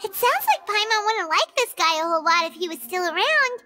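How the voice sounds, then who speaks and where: A tiny childlike fairy sidekick from a video game. The voice is extremely high and squeaky, swooping around with perky, bright energy. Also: female voice, very animated, a little fast, soft background music, exaggerated voice. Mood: puzzled, uneasy. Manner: squeaky, refers to herself in third person. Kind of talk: scripted video game dialogue.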